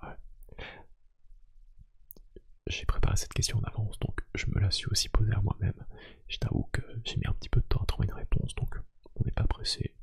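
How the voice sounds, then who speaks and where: A man speaking in a soft, hushed ASMR roleplay style, gently asking questions in an unhurried, hesitant, lightly teasing way. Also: lowish voice, little pitch movement. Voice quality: hushed, breathy, half-whispered. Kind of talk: soft-spoken ASMR roleplay, one-sided conversation.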